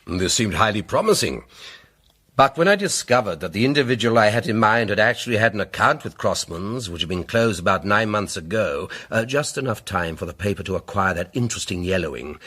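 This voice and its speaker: An actor playing a pompous, self-assured English barrister sleuth in a radio drama, speaking with rhetorical, deliberate emphasis. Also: male voice, lowish voice, very animated, fairly bright tone, slightly rough, exaggerated voice. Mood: assured, oratorical. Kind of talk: radio drama, courtroom-like oratory.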